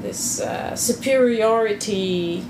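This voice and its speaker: Hesitant woman, chatting casually, unhurried, roomy recording. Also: mid-range voice. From Quebec. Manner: hesitant, many restarts and fillers. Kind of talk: casual chat. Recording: echoey room with background noise.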